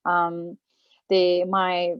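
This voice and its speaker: A woman from Romania in her forties tells her story as a guest speaker, earnest and full of fillers and restarts. Her voice sits on the low side. The recording is dull and dry, with little room sound. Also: monotone, very slow, smooth, no echo. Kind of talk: personal talk at an online event.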